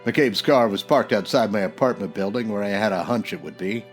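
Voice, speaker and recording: An actor playing a tough, wisecracking private detective in a terse 1940s radio noir style. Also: male voice, fairly low pitch, brisk, faint music behind, lightly acted. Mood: brooding narration. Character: hard-boiled, wisecracking male private detective from old-time radio.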